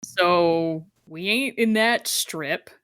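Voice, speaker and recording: A woman's voice: lowish voice, very slow, fairly bright tone, clear, resonant tone, no echo. A woman chatting casually as a player in a tabletop game. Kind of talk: casual chat.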